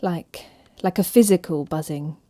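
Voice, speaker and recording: A woman playing a hurt, anxious young new mother in a naturalistic audio drama, speaking in a halting, emotionally raw way. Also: fairly low pitch, mellow, dry recording, close to an everyday voice. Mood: distressed, exhausted. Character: hurt, anxious new mother struggling with exhausted, frayed nerves.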